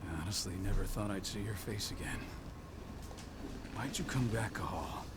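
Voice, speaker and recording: An actor playing a stern, wounded veteran werewolf leader in a video game, grave and measured with a breathy, weary voice. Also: male voice, fairly low pitch, natural voice. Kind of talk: video game cutscene acting. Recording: loud background noise.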